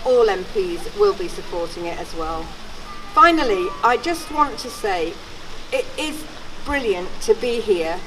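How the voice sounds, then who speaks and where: Heard from a distance with some background noise, an older woman addresses a rally. She is earnest and halting, then emphatic, in a fairly high voice. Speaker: campaigner giving a speech. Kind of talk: informal public rally speech. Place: outdoors at a public gathering.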